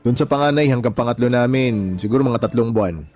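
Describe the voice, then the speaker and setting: A man's voice: mid-range voice, fast, darkish tone, soft background music, close to an everyday voice. An actor playing a married father, a sympathetic friend chatting matter-of-factly in a radio drama. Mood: reassuring, chatty. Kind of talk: Tagalog radio drama dialogue.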